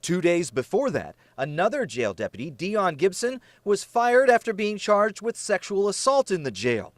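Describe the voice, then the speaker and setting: American male investigative reporter, scripted and emphatic, high-pitched voice, dry close recording. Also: no echo. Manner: serious, emphatic broadcast delivery. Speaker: TV news reporter. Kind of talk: scripted investigative news narration.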